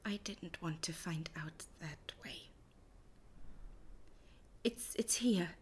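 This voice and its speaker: Casting: anxious, wounded wife for audio drama; lowish soft voice, slow halting delivery, background ambience. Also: female voice, a little hiss or hum. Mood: pained, resigned. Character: hurt, anxious wife of a missing man, soft-spoken. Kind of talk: audio roleplay acting.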